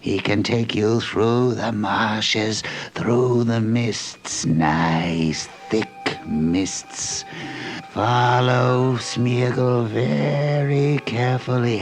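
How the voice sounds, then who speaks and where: A wretched, sneaking creature with a heavily creaking voice. He drags his words out very slowly, fawning and repeating himself obsessively. Music plays behind this audio drama figure. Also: mid-range voice. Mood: sly, coaxing. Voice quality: creaky, gravelly.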